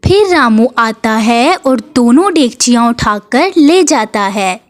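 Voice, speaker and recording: A woman's voice: on the high side, expressive, slow pace. A woman reading a scripted moral story as its narrator.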